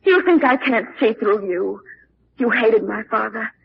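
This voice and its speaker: A woman's voice: on the high side, unhurried, creaky. An actress playing an emotional, grieving young woman in an old radio drama. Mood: bitter accusing. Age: young adult. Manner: emotional, pleading, melodramatic. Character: distraught, accusing young grieving heiress. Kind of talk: 1940s radio drama acting. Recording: noisy, muffled old radio recording.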